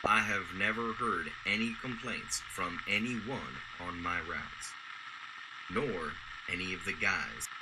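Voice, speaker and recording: An actor playing a gentle, earnest, plain-spoken working man in a radio drama. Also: low voice, slow, background noise. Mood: indignant. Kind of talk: golden-age radio drama acting.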